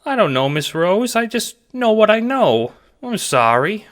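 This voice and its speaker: A man voicing a sweet, eager, childlike ghost cat sprite in an audio drama, with a creaky voice and an earnest, chirpy, polite manner. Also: sits high, very animated, unhurried, a bit bright, exaggerated voice. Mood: flustered.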